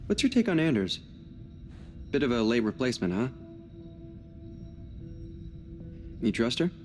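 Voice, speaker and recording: An actor playing a wry, joking younger astronaut in a video game, talking in a casual, teasing way. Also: male voice, mid-range voice, big pitch swings, fairly quick, darkish tone, soft background music, natural voice. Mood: casual, doubtful. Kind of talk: cinematic video game acting.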